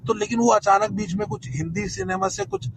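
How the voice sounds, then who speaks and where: A middle-aged man with an Indian accent chatting reflectively as a guest, halting and repeating words. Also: sits high, very animated, vocal fry. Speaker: actor, guest panellist. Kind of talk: casual reflective chat. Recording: noisy online audio.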